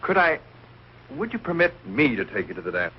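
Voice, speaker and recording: An actor playing an earnest, polite young American college suitor in a 1940s sitcom, speaking eagerly and a little haltingly. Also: male voice, on the high side, sing-song. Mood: pleading. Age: young adult. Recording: dull old broadcast, faint hiss.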